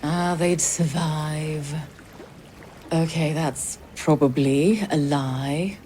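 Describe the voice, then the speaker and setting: A woman's voice: low-pitched, steady tone, drawn-out words, mellow. A woman playing a wistful, affectionate character with a wry, tender delivery in an audio drama. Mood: wistful, teasing. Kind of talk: naturalistic audio drama acting. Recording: clear waterside boat noise behind.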